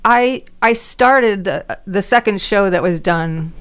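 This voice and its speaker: Smooth-voiced older woman actress, self-mocking. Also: medium pitch, slow, fairly bright tone. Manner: self-deprecating, laughs often. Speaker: volunteer radio actress. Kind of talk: casual interview answers.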